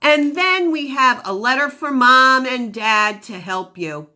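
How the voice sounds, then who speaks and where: Lady teacher doing phonics for little kids, really slow, bright voice, sounds words out carefully. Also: high-pitched, expressive. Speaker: teacher of young children. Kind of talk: phonics lesson for children.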